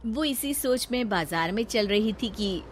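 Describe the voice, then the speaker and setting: A woman narrating a moral cartoon story in a plain, brisk manner. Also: mid-range voice, animated, slight background noise.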